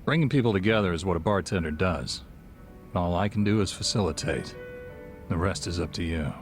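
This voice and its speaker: An actor playing a calm, shrewd, discreet bartender in a video game, speaking in a measured, dry manner. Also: male voice, low-pitched, over music, close to an everyday voice. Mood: calm, helpful. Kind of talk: dramatic game cutscene acting.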